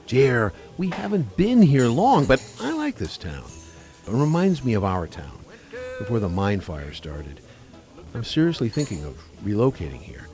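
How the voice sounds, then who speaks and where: Man acting a brash, very animated skeptical buddy. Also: medium pitch, normal speed, faint music behind. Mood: cajoling. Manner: joking, cajoling, colloquial. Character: brash, skeptical wisecracking buddy egging on his friend. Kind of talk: radio play acting.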